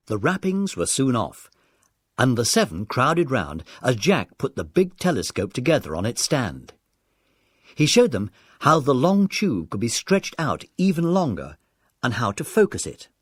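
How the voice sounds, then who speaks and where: A man's voice: medium pitch, wildly swooping pitch, unhurried, breathy edge, no echo, clean studio sound. A man narrating a scripted children's audiobook story.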